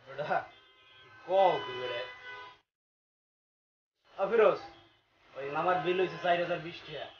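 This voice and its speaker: A man's voice: a little high, measured pace, pauses often, a little hiss or hum, natural voice. A man with a Bangladeshi accent playing a brash young student in a web drama. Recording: echoey, dull sound with music.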